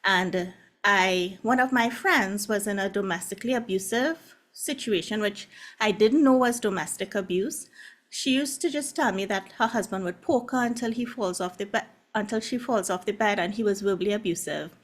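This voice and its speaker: A woman's voice: medium pitch, normal speed. Trinidadian woman sharing her story. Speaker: guest love coach and hypnotherapist. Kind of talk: casual video-call presentation. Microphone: video call.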